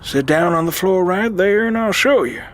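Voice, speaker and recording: A man acting a frail, devout old uncle in an audio drama, solemn and deliberate but fond and commanding. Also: high-pitched, lively intonation, a little hiss or hum. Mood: weary, fond.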